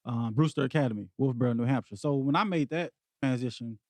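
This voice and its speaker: A man in his forties from Memphis, a podcast guest telling stories in a relaxed, easygoing way and laughing easily. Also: mid-range voice, quick pace, dry recording. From Memphis, Tennessee. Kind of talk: casual conversation.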